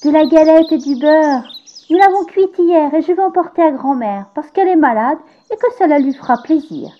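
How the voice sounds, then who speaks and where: A woman voicing a sweet, obedient little girl in a storybook tale, high and eager. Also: animated, moderate pace, muffled recording. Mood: cheerful. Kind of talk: storybook character voice.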